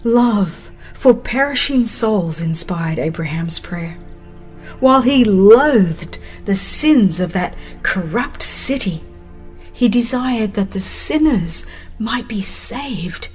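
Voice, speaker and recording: A woman narrating a religious audiobook in a solemn, expressive, reverent dramatized style. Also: mid-range voice, takes it slowly, breathy edge. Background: music.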